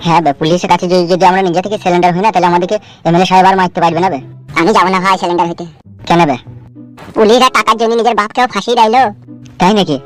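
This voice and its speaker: A man's voice: high voice, fairly even intonation, rapid-fire, a bit bright, faint music behind. A man voicing a scheming, coarse-talking, nervous village crook in a comedy cartoon. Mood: anxious, bickering. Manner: coarse slangy back-and-forth.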